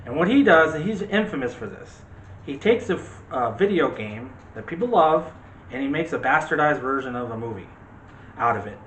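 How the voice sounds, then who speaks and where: A man's voice: medium pitch, lively intonation, moderate pace, fairly bright tone. A man co-hosting a casual, opinionated movie discussion, talking emphatically and straight to the viewers. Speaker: co-host of a movie discussion show. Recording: roomy echo with faint background noise.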